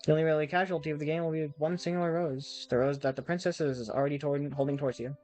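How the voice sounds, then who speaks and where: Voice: mid-range voice, steady tone, fast talker, darkish tone, soft background music. An amateur gamer reading a visual novel aloud in a theatrical storybook manner, with casual asides. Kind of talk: expressive scripted narration with casual asides.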